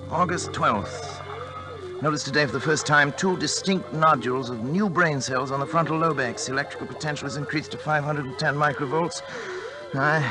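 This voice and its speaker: A man's voice: mid-range voice, natural voice, low-quality recording. An actor playing an obsessive, driven scientist in a 1940s radio drama, urgent and precise in his diction. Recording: vintage 1940s radio recording.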